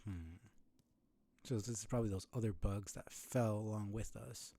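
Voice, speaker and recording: Low, mellow man musing aloud. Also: moderate pace. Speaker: game streamer. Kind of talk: casual playthrough commentary.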